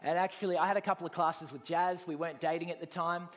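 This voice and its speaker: A fairly high-voiced man delivering a personal testimony from the pulpit. He talks earnestly, pressing hard on key words and then dropping into quiet, low reflection. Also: fairly even intonation, fast. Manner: earnest, swings from loud emphasis to soft, low reflection. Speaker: preacher giving his testimony.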